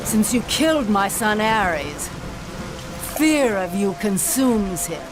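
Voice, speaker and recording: A woman's voice: medium pitch, very animated, slow pace. An actress playing a haughty, spiteful queen of the gods in a video game, sarcastic, languid and disdainful. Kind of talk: dramatic video game cutscene acting. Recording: music behind the voice.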